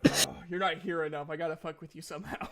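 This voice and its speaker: A man narrating as game master in an informal, halting, chatty way. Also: a little high, steady tone, bright, forceful tone. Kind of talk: casual tabletop game narration and chat.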